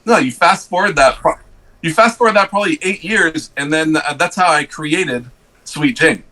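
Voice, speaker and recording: Bright-voiced man telling stories. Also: a little high, poor audio. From Switzerland. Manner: halting, many restarts and fillers. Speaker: cigar rep guest. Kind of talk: casual podcast storytelling.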